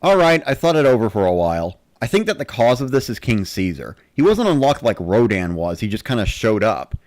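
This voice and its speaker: A man narrating in the first person with an expressive, dramatic delivery. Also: on the low side, a little fast, no room sound, clean studio sound. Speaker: narrator of a dramatic reading series.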